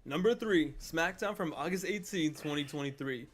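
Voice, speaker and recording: Twenties guy from canada, slightly high voice, narrating a wrestling list video, chatty and slangy. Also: moderate pace. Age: 20s. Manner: upbeat storytelling with slang.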